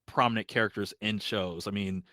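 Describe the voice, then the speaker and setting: A man's voice: lowish voice, steady tone, a little fast, bright, forceful tone, dry recording, clean studio sound. A man co-hosting a podcast in loose, casual conversation. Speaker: podcast co-host.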